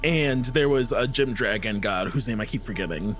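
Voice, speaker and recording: A man's voice: medium pitch, normal speed, soft background music. Rambling man chatting on a podcast. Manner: rambling, lots of fillers, laughs often. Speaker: podcast co-host. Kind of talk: casual chat about a tabletop game.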